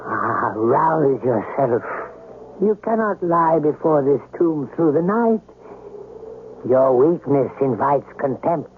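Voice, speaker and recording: An actor playing a loyal servant in a 1970s radio drama, grave and formal, with a moralizing, declamatory manner. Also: male voice, fairly high pitch, animated, takes it slowly. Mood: stern rebuke. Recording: phone-like noisy vintage radio.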